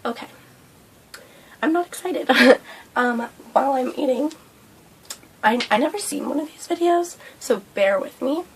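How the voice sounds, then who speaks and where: A young woman vlogging a breakfast mukbang, hoarse and losing her voice from a cold, rambling with lots of fillers. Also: fairly high pitch, low-quality recording. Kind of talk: casual mukbang vlog chat. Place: indoors at home under a hot light.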